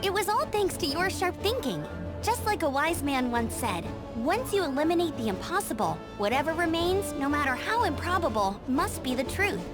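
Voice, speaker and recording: A woman's voice: high voice, big pitch swings, normal speed. A woman voicing an upbeat, restless young scout in a video game, chirpy and chatty. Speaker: game character. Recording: game audio with music behind.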